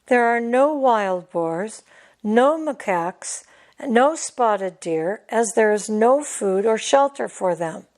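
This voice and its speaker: A woman voicing a patient mother tiger in a storybook, explaining things in a measured, gently instructive way. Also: on the high side, lively intonation.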